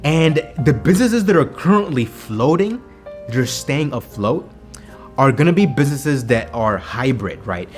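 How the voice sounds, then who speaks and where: A man's voice: mid-range voice, very animated, normal speed, soft background music. A man with a Kenyan accent, vlogging about business with energy, repeating himself and asking "right?" to his viewers. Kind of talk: motivational business vlog talk. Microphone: camera mic on a home video set.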